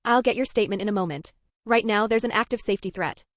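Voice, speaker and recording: A woman reading the lines of a calm, firm female police officer who speaks steadily and gently. The delivery stays close to the reader's own voice. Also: medium pitch, fast talker, dry recording, lightly acted. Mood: steady.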